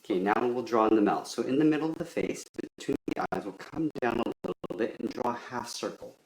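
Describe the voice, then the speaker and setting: A man's voice: medium pitch, expressive, fast. A man giving a step-by-step drawing tutorial in simple, methodical instructions. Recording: roomy echo with faint background noise.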